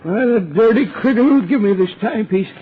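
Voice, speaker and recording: An actor playing an ornery old backwoods American codger with folksy rustic talk, in 1940s radio drama style. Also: male voice, high voice, animated, brisk. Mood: indignant, pleading. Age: older. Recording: tinny, narrowband old radio, faint noise.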